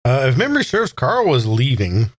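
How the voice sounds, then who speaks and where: A man playing a tabletop game, casually describing his character's actions in a deliberate, wordy, hesitant way. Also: mid-range voice, wildly swooping pitch, dry recording, studio quality.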